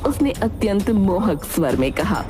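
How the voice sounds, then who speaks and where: A woman's voice: medium pitch, big pitch swings, unhurried. A woman narrating a scripted dramatic folk tale as a storyteller. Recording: music under the narration.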